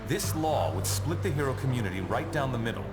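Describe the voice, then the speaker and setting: A self-assured male superhero played by an actor for a video game. His mid-pitched voice moves around a lot, wry and pointed, with heavy music underneath. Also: very animated, a little fast, lightly acted. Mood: earnest, measured.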